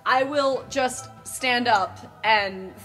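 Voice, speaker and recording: A woman's voice: fairly high pitch, very slow, over music. A woman improvising descriptions as a player in a tabletop game, in a casual style.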